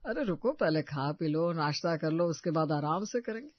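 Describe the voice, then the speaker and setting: Casting: man to play older patriarch for a family audio story, high pitch, plain-spoken, practical, dry slightly dull sound. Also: expressive, normal speed, dry recording. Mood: hospitable. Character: elderly family patriarch, caring, practical father-in-law. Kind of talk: Hindi audio story acting.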